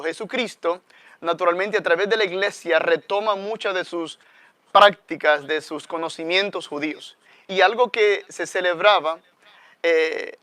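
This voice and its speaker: A man's voice: high-pitched, bright, forceful tone, smooth, no echo. A man with a Salvadoran accent, a guest priest teaching conversationally and reflectively, often adding questioning tags.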